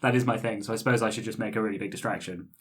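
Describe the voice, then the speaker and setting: A man's voice: lowish voice, steady tone, very fast, fairly bright tone. A man playing a tabletop roleplaying game, improvising ideas in casual, conversational talk, with faint noise on the recording.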